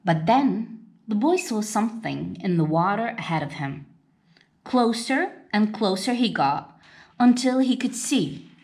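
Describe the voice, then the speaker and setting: A woman reading a children's picture book aloud as a storyteller, with expressive, dramatic delivery. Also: mid-range voice, unhurried.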